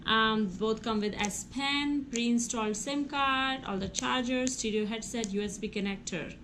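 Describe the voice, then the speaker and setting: A woman's voice: fairly high pitch, a little slow, fairly bright tone. A woman hosting a casual unboxing video, talking through each step aloud in an exclamatory way, on a noisy recording. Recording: clearly noisy home recording with clicks.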